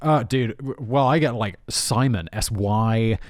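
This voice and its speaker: A man's voice: medium pitch, measured pace, no echo. Man, podcast host, casual rambling chat, dry sound. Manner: rambling, spells things out, says dude often.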